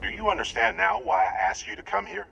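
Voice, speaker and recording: An actor playing a grave space explorer in a video game cutscene. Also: male voice, high-pitched, steady tone, quick pace, creaky, lo-fi sound, background noise. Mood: solemn.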